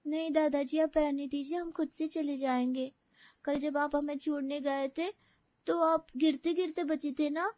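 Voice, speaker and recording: High-pitched schoolchild, voiced by a woman. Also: steady tone, unhurried, dry recording, muffled recording. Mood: polite. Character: complaining schoolchild pleading with mother. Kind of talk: dramatised dialogue in an audio story.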